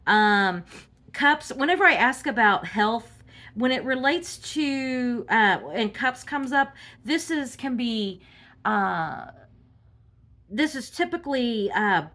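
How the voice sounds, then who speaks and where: A woman reading tarot cards in a casual, halting monologue full of fillers, sounding congested from a cold. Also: fairly high pitch, lively intonation, a bit bright. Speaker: tarot reader.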